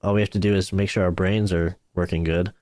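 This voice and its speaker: A man's voice: low-pitched, level tone, quick pace, a little mellow, no room sound, studio quality. A man in his twenties, a YouTuber chatting casually on a podcast, laid-back and saying "like", "dude" and "bro" often. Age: 20s.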